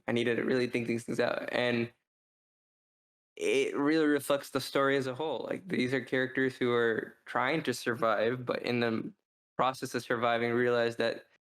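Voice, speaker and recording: Man, mid-pitched, narrow intonation, casual review chat, rambling, halting, podcast co-host. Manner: rambling, halting, thinking out loud. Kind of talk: casual book review discussion.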